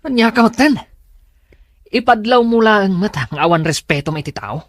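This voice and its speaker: Man acting a cocky boyfriend with swooping pitch. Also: expressive, normal speed, dry recording, studio quality. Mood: defensive, annoyed. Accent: Filipino. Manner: defensive, exasperated, self-satisfied. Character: cocky, unrepentant young womanizing boyfriend. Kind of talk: Philippine radio drama acting.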